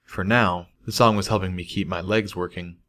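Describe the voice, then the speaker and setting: A man with a fairly low voice narrates a first-person story. He reads from a script as an audiobook narrator. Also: steady tone, normal speed, a bit bright. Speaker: audiobook reader of fan fiction.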